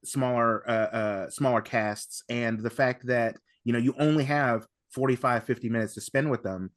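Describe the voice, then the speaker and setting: A man on a podcast panel giving casual analysis, halting and repeating words as he builds his point. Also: medium pitch, steady tone, no echo, clean studio sound.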